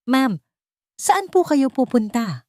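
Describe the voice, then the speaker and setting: A woman's voice: high-pitched, big pitch swings, takes it slowly, darkish tone, dry recording, clean studio sound, voice pushed up, full character voice. A woman storyteller voicing an anxious, pleading housemaid who is scheming and falsely polite. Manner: pleading, nervous.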